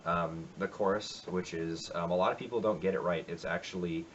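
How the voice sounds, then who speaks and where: A man's voice: sits low, steady tone, brisk, dull audio, slight background noise. A man talking casually and reflectively in his home music room about a song he covered, with lots of fillers. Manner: rambling, reflective, many fillers. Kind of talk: casual spoken intro to a cover.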